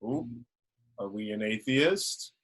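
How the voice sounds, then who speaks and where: A man playing a genial, pompous village dignitary in a script table read, formal and a little halting. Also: fairly low pitch, very animated, vocal fry, fairly bright tone, heavily acted. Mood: jovial.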